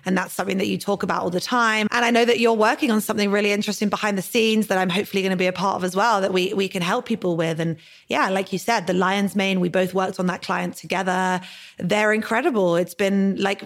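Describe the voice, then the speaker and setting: Effusive, sweary woman podcast host. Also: mid-range voice. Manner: effusive, sweary, repeats "like" often. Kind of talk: casual podcast chat.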